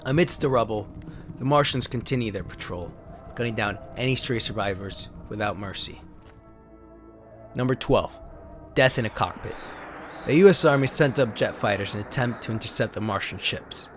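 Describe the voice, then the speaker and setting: A man's voice: on the low side, moderate pace, faint music behind. A man giving a theatrical, solemn dramatic reading of pulp science fiction stories, as a narrator. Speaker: narrator and host. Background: sound effects and ambience.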